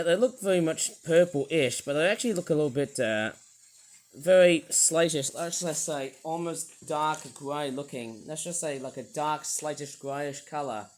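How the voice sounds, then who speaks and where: British male toy reviewer, high croaky voice, rambly and enthusiastic, lots of "uh"s. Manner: rambling, enthusiastic, many hesitations.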